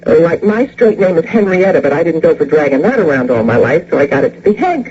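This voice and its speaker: A woman's voice: deep voice, sing-song, brisk, dark tone. A brash, plump neighbor woman in an old radio drama. She talks in breezy slang and tosses off wisecracks. The sound is dull, with hum and faint noise. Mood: wisecracking. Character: brash, chatty, short plump New York woman neighbor.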